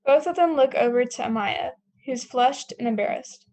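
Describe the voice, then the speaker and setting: Young female narrator, higher pitch, reading screenplay action lines at a table read. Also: moderate pace, warm tone. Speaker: stage-direction narrator.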